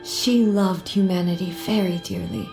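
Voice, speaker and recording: A woman playing a solemn, regal thunder goddess in a video game, speaking in a formal, measured and dignified way. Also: on the low side, big pitch swings, echoey room, over music. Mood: wistful, tender. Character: solemn, regal female thunder god ruling a nation. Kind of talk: scripted video game dialogue.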